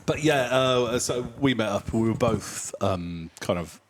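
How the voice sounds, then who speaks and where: A man from the Nottingham area, a brewery owner chatting in an interview and filling pauses with ums and uhs. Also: mid-range voice, expressive, slight background noise. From Nottingham area, England. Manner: hesitant, many ums and uhs. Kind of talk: casual interview chat.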